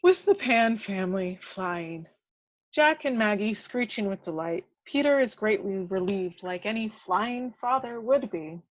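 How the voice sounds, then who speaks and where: A woman's voice: medium pitch, animated, unhurried, no room sound. A woman reading out the action of a screenplay as a dramatic narrator. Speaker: script narrator. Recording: dull lo-fi, dry microphone.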